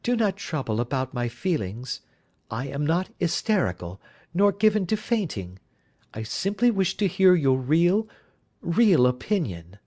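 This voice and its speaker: A man's voice: on the high side, sing-song, a little slow, a little mellow, pitched above the performer's own voice. A man voicing an anxious, earnest married woman in an audiobook, speaking politely and directly. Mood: earnest, insistent. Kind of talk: audiobook character voice.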